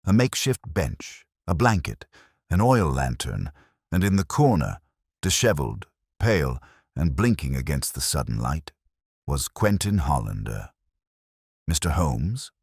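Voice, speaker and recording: A man's voice: rumbling low, sing-song, unhurried, creaky, darkish tone, husky, no room sound, clean studio sound. A man reading a scripted mystery story as a dramatic narrator.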